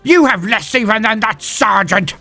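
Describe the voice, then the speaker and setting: A man's voice: high voice, animated, normal speed, pushed and bright, faint music behind, pitched above the performer's own voice, heavily acted. A man voicing an enraged, spiteful and cowardly emperor in a dramatic story, screeching and ranting. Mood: shrieking rage. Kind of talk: dramatic storybook character acting.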